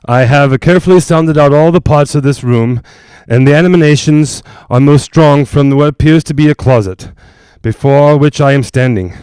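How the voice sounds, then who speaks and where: A male actor playing an earnest doctor investigating a haunted house in a 1940s-style radio drama, reporting in a formal, measured way. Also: fairly high pitch, darkish tone, clean tone, dry recording, close to an everyday voice, low-quality recording. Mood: earnest, intent.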